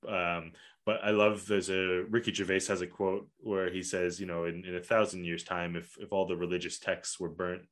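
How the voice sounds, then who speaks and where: A man's voice: sits low, little pitch movement, normal speed, fairly bright tone. A man in his thirties, raised in Malaysia, talking in a loose, rambling podcast chat full of fillers and restarts. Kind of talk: casual conversation.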